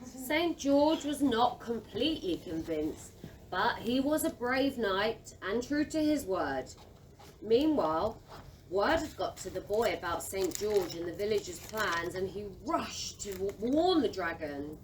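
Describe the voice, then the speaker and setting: A woman acting as storybook narrator in an audio play, telling it in a measured scripted style. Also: mid-range voice, lively intonation, natural voice. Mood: storytelling. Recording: distant microphone, background noise.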